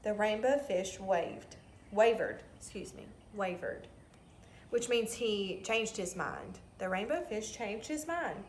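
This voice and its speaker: A woman's voice: mid-range voice, slow pace. A woman reading a children's story aloud expressively, with simple teacherly asides. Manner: expressive, explains words to children. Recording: roomy echo, some background noise.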